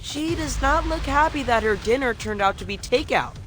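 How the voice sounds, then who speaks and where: A man playing a cautious, matter-of-fact, wry young mutant in an animated video game. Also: very high voice, normal speed, heavy background noise.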